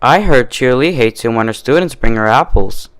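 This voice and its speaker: A man's voice: medium pitch, expressive, moderate pace, a bit bright, close to an everyday voice. A man voicing a teasing, argumentative female carrot-farmer pony in a cartoon fan-fiction reading. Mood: needling. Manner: needling, argumentative.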